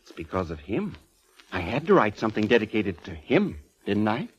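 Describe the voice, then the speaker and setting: An actor playing an eccentric, gleefully morbid ageing composer in a 1940s radio drama, with a flamboyant, florid manner. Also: male voice, lowish voice, sing-song, moderate pace, breathy edge. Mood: elated, morbid. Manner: flamboyant, effusive, chuckling, florid. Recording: muffled vintage 1940s radio recording.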